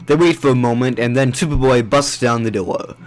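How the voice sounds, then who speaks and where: A man's voice: medium pitch, a little fast. A man reading scripted narration for an audio drama.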